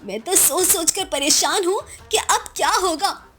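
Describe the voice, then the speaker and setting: Lady doing an alarmist little sister, super high cartoon voice, fretful and worked up. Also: a little slow, a bit bright, soft background music. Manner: exclamatory, agitated. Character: excitable, fretful younger sister, alarmist and naive. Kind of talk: Hindi moral-story cartoon dialogue.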